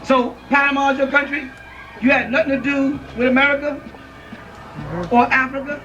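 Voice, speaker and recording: A man's voice: very high-pitched, level tone, normal speed, sharp tone. A man giving an emphatic, informal lecture to a live audience in a room, often checking in with his listeners. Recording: dull lo-fi audio from a distant mic.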